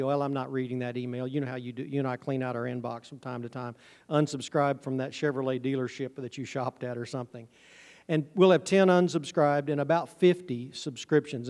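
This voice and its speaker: A man's voice: mid-range voice, darkish tone. A middle-aged man giving an informal, folksy, self-deprecating presentation to an audience. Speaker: conference speaker, honey farm business owner. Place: large auditorium stage, indoors. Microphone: handheld microphone through PA, recorded from audience camera. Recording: hall reverb from stage sound system.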